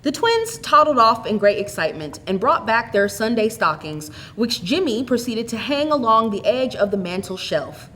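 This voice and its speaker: A woman's voice: a little high, very animated, normal speed, few pauses, fairly bright tone, a little hiss or hum. Woman reading a story aloud. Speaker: story reader. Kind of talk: expressive scripted storytelling.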